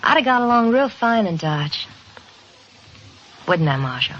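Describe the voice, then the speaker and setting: Brash, animated frontier wife. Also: female voice, on the low side, measured pace, full character voice. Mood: flirtatious, restless. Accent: American. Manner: brash, folksy, evasive. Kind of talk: old-time radio western acting. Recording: dull old radio recording, faint noise.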